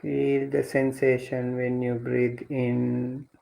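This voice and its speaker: A man leading a guided meditation as a teacher, speaking gently and deliberately with long pauses between instructions. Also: mid-range voice, monotone, vocal fry, low-quality recording.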